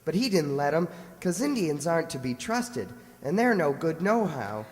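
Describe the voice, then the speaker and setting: Woman playing an animated, curious little frontier boy. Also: low voice, moderate pace. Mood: boastful, eager. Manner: eager, questioning, folksy grammar. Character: curious, naive frontier little boy, boastful younger brother. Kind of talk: radio play acting. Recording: reverberant hall-like room.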